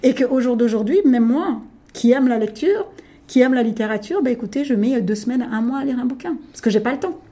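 A middle-aged woman, a native French speaker, talking as a guest about her contest in an emphatic, animated way. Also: on the high side, brisk. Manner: emphatic, animated, addresses listener directly. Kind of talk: casual interview talk.

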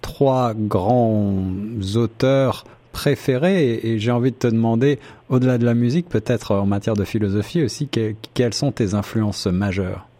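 A man's voice: medium pitch, moderate pace, warm tone. A male radio host asks long, winding questions in an easy, conversational way. His voice sits in the middle range and sounds plain and natural. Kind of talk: interviewing a guest.

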